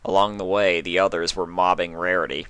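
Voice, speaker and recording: Male narrator: lower register, scripted storytelling, very dry sound. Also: little pitch movement, brisk, a bit bright, clear, resonant tone, no room sound. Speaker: fan fiction reader and narrator.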